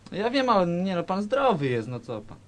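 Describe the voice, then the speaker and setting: A man's voice: high-pitched, sing-song, fairly quick. A man playing a casual, dismissive doctor in a comic radio sketch. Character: dismissive, casual male doctor reassuring a patient.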